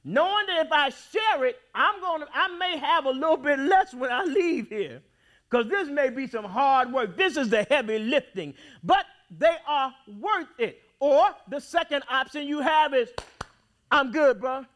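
A man preaching a sermon in a church, with dramatic swings between loud outbursts and quiet, drawn-out phrases. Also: extremely high pitch, expressive. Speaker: pastor. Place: church sanctuary.

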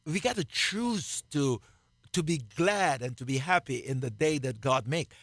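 Slow, emphatic Jamaican pastor. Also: male voice, on the high side, lively intonation, fairly bright tone, dry recording. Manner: slow, emphatic, repeats key phrases. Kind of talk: radio sermon, co-hosted Bible teaching.

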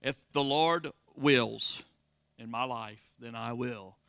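A pastor with a Southern Florida accent preaching a sermon, folksy and calling out to the congregation for responses. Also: male voice, medium pitch, takes it slowly, creaky, bright, forceful tone, dry recording. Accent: Floridian Southern American. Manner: call-and-response, folksy, rising to emphatic exclamations.

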